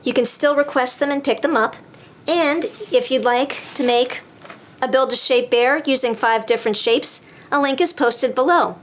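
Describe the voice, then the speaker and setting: A woman's voice: high voice, normal speed, a little hiss or hum. A woman hosting a children's storytime, reading a picture book aloud expressively in simple phrases for young listeners. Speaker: children's librarian, storytime host.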